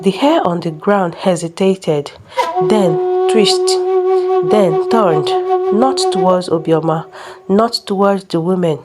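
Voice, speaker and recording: Slow, dramatic woman storyteller over loud music. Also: fairly low pitch, sing-song. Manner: deliberate, suspenseful phrasing. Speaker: storyteller narrator. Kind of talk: dramatic scripted folktale narration. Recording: prominent music under the voice.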